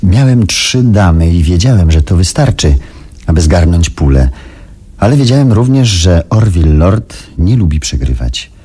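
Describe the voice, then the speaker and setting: An actor playing a calculating, ambitious businessman who tells his story in a measured, confiding way in a radio drama. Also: low voice, lively intonation, dark tone, slightly rough. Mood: measured, watchful. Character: Frank, calculating ambitious businessman recounting events in first person. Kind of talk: Polish radio drama narration.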